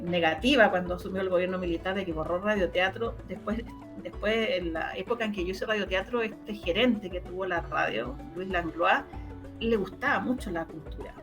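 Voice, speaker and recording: A woman with a Chilean Spanish accent answers in a conversational interview, often halting, repeating words and restarting. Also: medium pitch. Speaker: interviewed radio drama producer.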